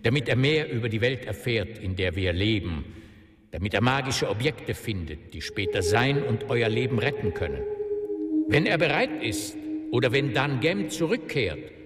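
An actor playing a grave, learned wizard mentor in a German radio drama, speaking in a solemn, measured, explanatory way. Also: male voice, medium pitch, big pitch swings, fairly bright tone. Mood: earnest, planning. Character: grave, learned male wizard mentor revealing ancient secrets. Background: music.

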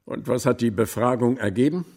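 Gravelly, irate police chief. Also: male voice, medium pitch, brisk, in a large hall. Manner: sharp, reprimanding, peremptory. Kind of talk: German radio drama acting.

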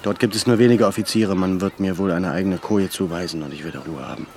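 An actor in a German radio drama playing a weary, serious leader, reflective yet used to command. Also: male voice, sits low, fairly even intonation, quick pace, a little mellow, slight background noise, close to an everyday voice. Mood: weary, confiding. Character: weary, serious male leader longing for solitude.

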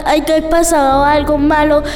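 A woman's voice: high voice, little pitch movement, normal speed, a little mellow, close to an everyday voice. A female performer playing an anxious schoolgirl in a school radio drama. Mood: worried, scolding. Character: anxious schoolgirl, frightened at being left alone. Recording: roomy school radio recording over music.

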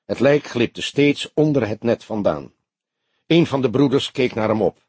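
A man's voice: medium pitch, expressive, a little slow, a little mellow, husky, no echo. A man narrating an audiobook as scripted dramatic storytelling.

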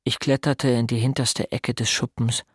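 A man playing a somber narrator who looks back on his boyhood in a radio drama, measured and grave. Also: medium pitch, little pitch movement, background noise, close to an everyday voice. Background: music.

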